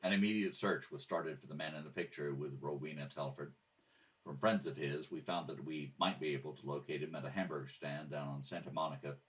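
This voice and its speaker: Man playing a low, clipped police detective. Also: steady tone, brisk, soft background music. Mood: matter-of-fact. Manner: clipped, matter-of-fact. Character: terse, businesslike male police detective narrating his case. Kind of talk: 1950s radio crime drama narration. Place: at a kitchen table. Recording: slightly distant home microphone.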